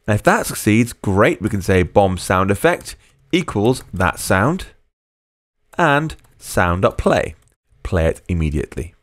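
A man's voice: fairly low pitch, big pitch swings, a little slow, no room sound, clean studio sound. A man narrating a screencast coding tutorial as a programming tutor, explaining step by step and dictating code word by word.

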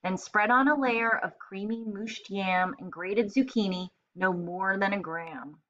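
Woman reader as a small, eager storybook girl, bossy but polite, bright forceful delivery, slightly dull sound. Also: medium pitch, unhurried, natural voice. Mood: demanding, precise. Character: tiny, demanding, chirpy little girl ordering food. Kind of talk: children's storybook read-aloud.

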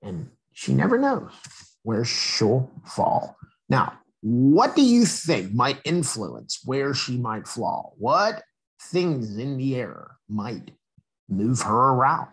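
A man's voice: mid-range voice, big pitch swings, slow, husky, rough recording. A man reading a children's picture book aloud expressively, addressing young listeners with questions and asides. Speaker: read-aloud storyteller.

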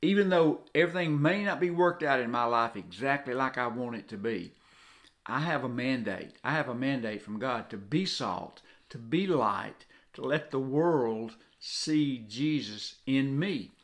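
Older southern guy doing a homey devotional talk, earnest, normal pitch, says things twice to make the point. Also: lively intonation, unhurried, fairly bright tone. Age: 60s or older. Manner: earnest, repeats phrases for emphasis. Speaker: co-host of a devotional video. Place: home kitchen, indoors.

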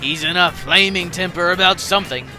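A man's voice: sits high, fairly quick, music in the background, close to an everyday voice. A man playing a practical, no-nonsense engine driver in a children's story, matter-of-fact and firm. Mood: puzzled.

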